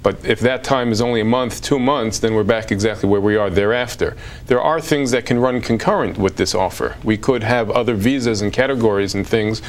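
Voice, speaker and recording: A man speaking as an immigration lawyer in a TV interview, measured and formal. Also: fairly low pitch.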